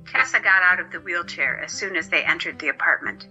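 A woman reads scripted story narration in a very sharp, forward tone. Her pitch is a little low. Faint music plays behind her on a slightly lo-fi recording. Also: fairly quick.

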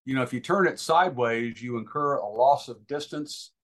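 A man's voice: mid-range voice, moderate pace, a bit bright. A man giving informal instruction as a guest expert, talking in an emphatic, advisory way.

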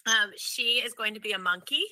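A woman in her thirties chats casually as a co-host. Her voice is very bright and forward, recorded close and dry. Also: fairly high pitch, a little fast, dry recording, rough recording. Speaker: podcast co-host. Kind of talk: casual chat.

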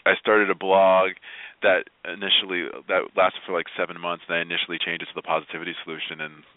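Low-voiced man on a phone-like line. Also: flat delivery, quick pace, no room sound. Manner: candid, emphatic, often chuckling as he talks. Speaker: interview guest, motivational speaker. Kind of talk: personal storytelling in an interview. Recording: thin, phone-like radio interview line.

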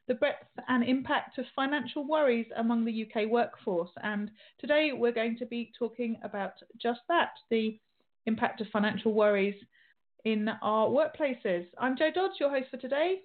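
A middle-aged woman hosts an interview show in a relaxed, chatty way, often restarting her sentences. Her voice sits fairly high. The sound is thin and tinny, like a phone line. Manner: chatty, with frequent restarts and fillers. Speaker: radio show host.

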